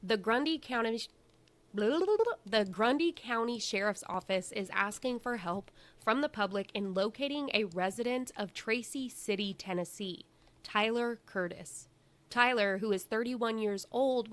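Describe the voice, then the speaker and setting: A woman's voice: on the high side, unhurried. Bubbly woman co-hosting a live show. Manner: bubbly, playful, reads details earnestly. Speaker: co-host of a missing persons segment. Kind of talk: casual live show chat.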